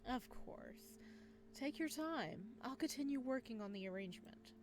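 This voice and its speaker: A woman voicing a polite male florist in a visual novel, speaking slowly and courteously. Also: on the high side, animated, background noise, pitched above the performer's own voice. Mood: calm courteous. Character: soft-spoken polite male florist, calm and unsettling.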